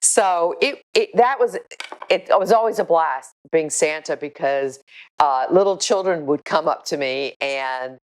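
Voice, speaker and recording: Older woman, low and unhurried, earnest and firm, a volunteer talking to camera. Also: smooth. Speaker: animal shelter volunteer. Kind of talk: casual spoken account to camera, showing photos.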